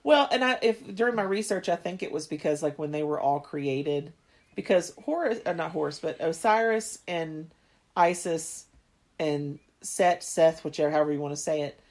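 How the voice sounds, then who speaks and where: Woman in her 30s, lowish voice, rambly podcast chat, keeps restarting. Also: moderate pace, darkish tone. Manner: rambling, restarts often, laughs easily. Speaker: podcast co-host. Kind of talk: casual chat.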